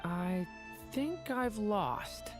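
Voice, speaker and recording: An actor voicing a gentle, earnest boy dragon in human form for a video game, soft and youthful. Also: mid-range voice, sing-song, drawn-out words, loud music behind the voice. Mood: dejected.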